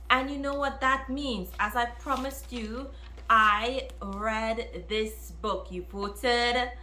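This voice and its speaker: A woman giving casual, expressive book reactions as a vlogger, talking in an emphatic, conversational way. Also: mid-range voice. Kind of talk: casual reaction and review to camera. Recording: roomy echo, distant microphone.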